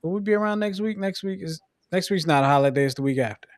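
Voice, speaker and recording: A man's voice: sits high, fast talker, no room sound, clean studio sound. A man hosting a casual sports podcast, laid-back and teasing, slightly losing his voice. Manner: laid-back, teasing, repeats sign-off phrases. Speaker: podcast host.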